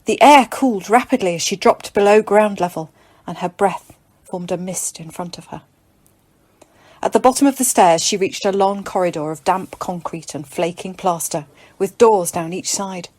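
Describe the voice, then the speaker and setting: A woman's voice: mid-range voice, moderate pace, darkish tone. A woman narrating scripted dramatic fiction as an audiobook reader.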